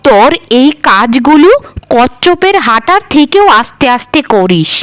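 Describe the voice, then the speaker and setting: Cartoon role: critical older mother-in-law played by a woman, high-pitched, stern, threatening lecturer. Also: no echo. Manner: reproachful, lecturing. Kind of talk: Bengali family cartoon dialogue.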